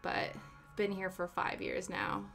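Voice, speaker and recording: A woman's voice: mid-range voice, lively intonation, unhurried, gravelly, a bit bright. A young woman from Canada chatting casually with viewers on a live stream, answering chat with giggly asides. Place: home, living in the UK. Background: faint background music.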